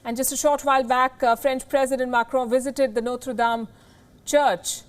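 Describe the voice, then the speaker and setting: A woman with a fairly high voice presents scripted news. Her delivery is emphatic and dramatic, and she sets key phrases apart with deliberate pauses. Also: fairly even intonation. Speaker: news anchor.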